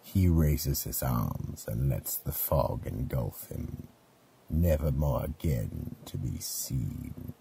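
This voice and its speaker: A man narrating a scripted radio mystery as an ominous, deliberate storyteller. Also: extremely low pitch, expressive, creaky, warm tone, breathy edge.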